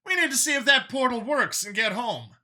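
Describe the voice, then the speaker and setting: A man's voice: very high voice, lively intonation, fairly quick, sharp tone, pitched above the performer's own voice, exaggerated voice. A man voicing an anxious, eager male companion with an urgent manner in a science fiction audiobook.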